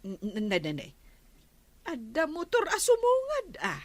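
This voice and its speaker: A woman's voice: high voice, wildly swooping pitch, unhurried, no echo, full character voice. An actress playing a nervous, gossipy village woman with an Ilocano accent in a radio comedy drama, chatty and exclamatory. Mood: amused, gossipy.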